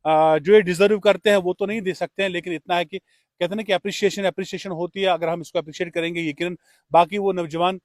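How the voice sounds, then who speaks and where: Male radio host, courteous and formal, fairly high voice, very dry recording, interview-and-tribute delivery. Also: fairly even intonation, fast, no echo. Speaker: radio host presenting an appreciation award. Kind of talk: on-location interview and tribute speech.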